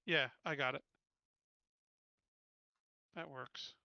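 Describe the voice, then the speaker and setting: A man's voice: medium pitch, steady tone, very slow, gravelly, pushed and bright, dry recording, lo-fi sound. A man taking part as a player in a tabletop game, chatting casually about what to do.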